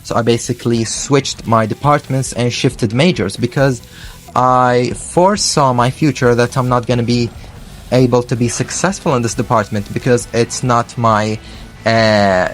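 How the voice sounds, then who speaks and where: A man's voice: mid-range voice, normal speed, faint music behind. Earnest young man giving study advice. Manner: earnest and thoughtful, with frequent "uh" and self-corrections. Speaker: vlogger on learning English. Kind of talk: casual spoken advice to camera.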